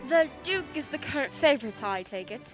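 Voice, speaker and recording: A woman acts out a composed female detective in a radio play. Her voice is high and moves freely up and down, yet stays formal and businesslike, with music playing behind her. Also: lively intonation. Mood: polite, brisk. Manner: formal, polite, measured.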